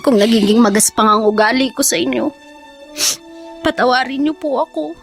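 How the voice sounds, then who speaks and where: A high-pitched young Filipino daughter, played by a woman in a radio drama. She is respectful and earnest, hesitating politely, over a faint bed of music. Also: normal speed, natural voice. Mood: contrite.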